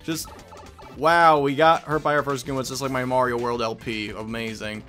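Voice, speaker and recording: Male Let's Play host: playful, casual commentary, medium pitch over faint music. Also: flat delivery, moderate pace, a bit bright. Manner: joking, playful.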